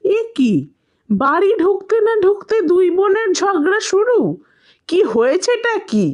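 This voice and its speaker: A woman voicing an exasperated but fair village mother in a cartoon, speaking in an earnest, expressive way. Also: very high voice, a little slow, heavily acted. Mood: surprised, stern. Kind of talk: cartoon voice acting.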